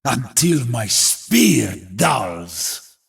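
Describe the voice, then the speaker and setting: A man voicing a grim, resolute warrior hero in a video game, raspy and gravelly, making weighty, defiant pronouncements. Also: mid-range voice, sing-song, drawn-out words, full character voice. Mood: grim resolve.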